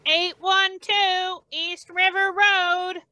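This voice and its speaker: A woman playing a hospital dispatcher in an old-time radio drama, deliberately announcing over a noisy, clicking background. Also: very high-pitched, flat delivery, pushed and bright, full character voice. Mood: flat announcing. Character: female hospital dispatcher calling out addresses.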